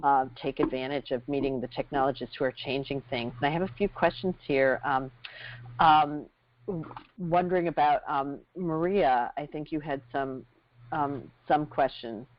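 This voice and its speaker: A woman's voice: low voice, steady tone, normal speed, slight background noise. Woman running a group call, ums everywhere, muffled sound. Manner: hesitant, filler-heavy, warm thanks to speakers. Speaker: host and moderator of the call. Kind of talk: moderating a conference call. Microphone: computer or phone on a conference call. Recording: muffled conference-call audio.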